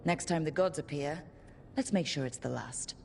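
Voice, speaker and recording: A woman's voice: sits low, moderate pace, gravelly, darkish tone, a little hiss or hum. An actress playing a resolute, earnest young woman who leads a band of heroes in a fantasy game. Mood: determined. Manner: earnest, rallying, plain-spoken. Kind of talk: dramatic fantasy game voice acting.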